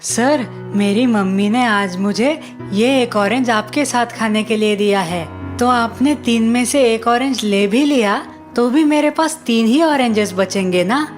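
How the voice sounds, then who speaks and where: Lady doing a little cartoon school kid, high voice, polite n innocent, insists he's right, soft music behind. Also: unhurried, hardly any pauses, lightly acted. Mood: earnest, innocent. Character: innocent, confident young schoolboy pupil in a cartoon. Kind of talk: cartoon voice acting.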